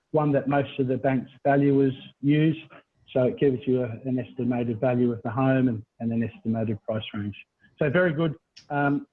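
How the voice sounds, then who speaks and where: A middle-aged Australian man giving a conversational webinar presentation as a property buyers agent over a video call. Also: medium pitch. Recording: thin, phone-like video call audio.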